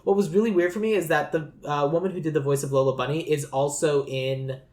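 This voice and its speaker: A woman's voice: low voice, steady tone, fast talker, a little mellow, roomy sound. A woman hosting a podcast, chatting casually and rambling with lots of fillers as she thinks aloud. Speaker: podcast host. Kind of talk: casual chat.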